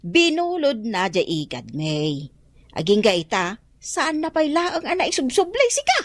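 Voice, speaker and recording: A woman's voice: a little high, huge pitch swings, a little slow, no room sound, heavily acted. An actress in a radio drama playing a pushy middle-aged village wife who talks neighbors into lending her money, chatty and wheedling.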